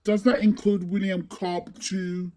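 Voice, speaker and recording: A woman playing a terse, brooding masked vigilante who questions curtly and deliberately in a fan audio drama. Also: medium pitch, little pitch movement, a crowd in the background, close to an everyday voice. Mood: demanding.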